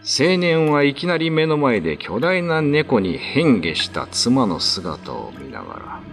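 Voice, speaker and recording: A man narrates an old ghost tale as scripted radio drama. His medium-pitched voice moves widely in tone, with faint music behind. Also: wildly swooping pitch, unhurried, vocal fry.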